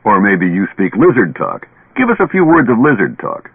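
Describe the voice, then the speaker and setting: A man's voice: fairly low pitch, huge pitch swings, normal speed, husky, narrow, tinny audio, exaggerated voice. Man as brash skeptical barfly, thin radio sound. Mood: needling. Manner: blunt, slangy, needling. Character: skeptical, brash male drinking buddy challenging a tale. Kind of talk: old radio drama acting. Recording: band-limited old radio recording, echoey.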